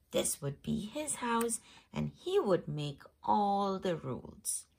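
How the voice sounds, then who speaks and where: A woman host reading a children's picture book aloud in an expressive storytelling manner. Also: medium pitch, creaky, husky. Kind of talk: scripted storybook read-aloud.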